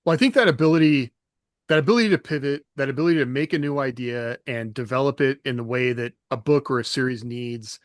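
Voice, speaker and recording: A man's voice: medium pitch, fast talker, dry recording, clean studio sound. A man co-hosting a podcast, easygoing, chuckling and trailing off mid-thought. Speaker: podcast co-host. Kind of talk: casual podcast discussion.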